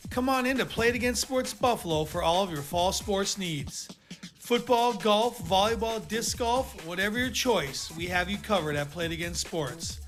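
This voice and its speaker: A man's voice: high voice, fairly bright tone. A man with an American accent, a shop owner reading his own scripted radio advertisement. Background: light music.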